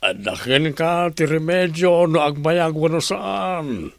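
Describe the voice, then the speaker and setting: A man acting as a stern older father and company head in an Ilocano radio drama, with a creaky voice and an Ilocano accent. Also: fairly high pitch, expressive, slow pace, no room sound, heavily acted.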